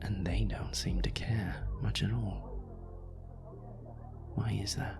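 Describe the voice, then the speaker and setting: A man playing a suave, seductive vampire in an intimate audio roleplay, murmuring in a breathy, gravelly voice with flirtatious, elegant drawl. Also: lowish voice, fairly even intonation, slow, whispered. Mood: gentle, probing. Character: suave seductive male vampire stranger, teasing, world-weary. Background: music.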